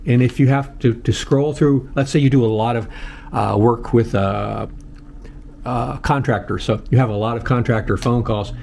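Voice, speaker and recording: An older American man giving a casual, unscripted tutorial in a halting, plain-spoken way, often repeating himself. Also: medium pitch, fairly even intonation, creaky, a little mellow, a little hiss or hum. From United States. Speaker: host of a how-to video channel.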